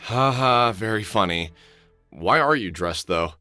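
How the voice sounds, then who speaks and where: A man's voice: lowish voice, animated, a little slow, pushed and bright. A man voicing a wry, protective middle-aged adventure game hero who often mutters expressively to himself. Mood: wry, curious. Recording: background music under the voice.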